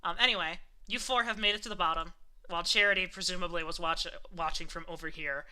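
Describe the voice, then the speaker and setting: Bright, clear voice running a tabletop game. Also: medium pitch, steady tone, quick pace, poor audio. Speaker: game master. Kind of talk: narrating a tabletop game, casual.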